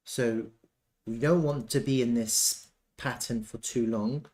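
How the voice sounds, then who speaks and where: A man giving casual, off-the-cuff chart commentary as a trading analyst, thinking aloud haltingly and repeating himself. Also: medium pitch, fairly even intonation, darkish tone.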